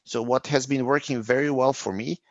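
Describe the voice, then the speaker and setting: A man's voice: mid-range voice, fairly even intonation, moderate pace, no room sound, highs cut off. A middle-aged man from Serbia, a guest answering interview questions thoughtfully, often restarting sentences. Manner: thoughtful, searching for words, frequent restarts.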